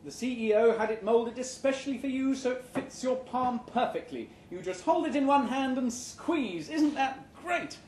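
A man's voice: very high voice, lively intonation, moderate pace, few pauses, a little mellow, pitched above the performer's own voice. A man voicing a merry, gushing female head of human resources in a sing-song way, in a live story reading. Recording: roomy, noisy live venue recording.

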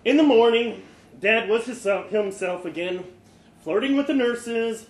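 A man giving an expressive live reading of a first-person short story, with dramatic pauses and the odd stumble. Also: very high voice. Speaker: story reader. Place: live storytelling event in a pub room. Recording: echoey live venue, faint background noise.

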